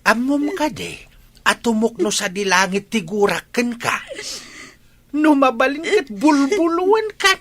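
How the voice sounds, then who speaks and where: A man's voice: very high-pitched, very animated, measured pace, fairly bright tone, heavily acted. A man with a Filipino accent acting a hot-tempered, scolding father in a radio drama. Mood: furious, shaming. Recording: music under the voice.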